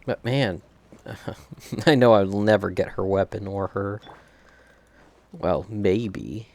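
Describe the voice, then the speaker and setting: A man's voice: on the low side, slow, darkish tone, no room sound. A man doing casual gameplay commentary, thinking aloud and chuckling often as he streams a video game.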